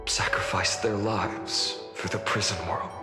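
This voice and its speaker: A man acting a noble young lord in a video game, formal, earnest and resolute, speaking in measured, grave phrases. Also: fairly low pitch, level tone, lightly acted. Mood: solemn. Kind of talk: dramatic video game dialogue. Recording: echoey long reverb over clear music.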